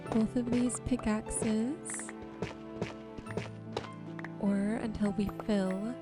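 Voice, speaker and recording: A woman giving ASMR-style gameplay commentary, musing to herself in an unhurried way. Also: mid-range voice. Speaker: game streamer hosting a relaxation video.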